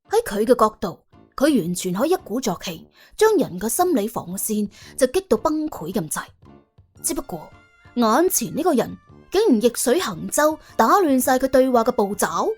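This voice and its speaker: Lively, high-voiced Cantonese woman storyteller. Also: breathy edge. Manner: animated, wry. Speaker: narrator. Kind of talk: colloquial comic audiobook storytelling.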